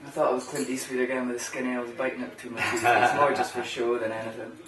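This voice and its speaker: A man's voice: mid-range voice, flat delivery, fast talker, a crowd in the background. A young man with an Aberdeen Scottish accent, co-hosting an easygoing cigar chat with cheeky banter. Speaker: co-host. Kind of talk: casual chat. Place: home bar room, indoors. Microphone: camera mic at table distance. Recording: roomy, distant camera mic, music.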